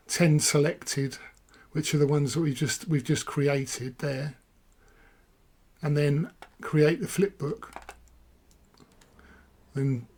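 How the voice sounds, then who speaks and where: A man talks casually through a software demo, his voice hoarse after long use. He rambles and corrects himself as he goes, thinking aloud while clicking. His voice sits a touch on the high side. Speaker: software reviewer. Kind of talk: casual screen-recorded walkthrough.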